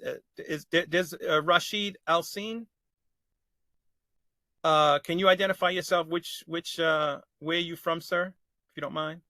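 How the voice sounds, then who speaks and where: A man's voice: medium pitch, bright, forceful tone, no echo. A man chairing a community board meeting over a video call, polite and formal, often restarting his sentences. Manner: polite, formal, addresses speakers as sir. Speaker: committee chair.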